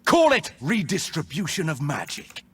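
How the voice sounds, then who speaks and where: A male actor voicing a defiant, roguish rebel mage and former prisoner in a video game, with theatrical, taunting delivery and a husky voice. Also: a little high, wildly swooping pitch, moderate pace, heavily acted. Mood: smug, taunting. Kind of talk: video game character voice lines.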